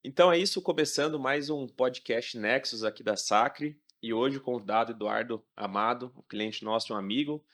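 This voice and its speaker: A man's voice: mid-range voice, normal speed, few pauses, fairly bright tone. Brazilian man hosting a casual podcast. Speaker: podcast host.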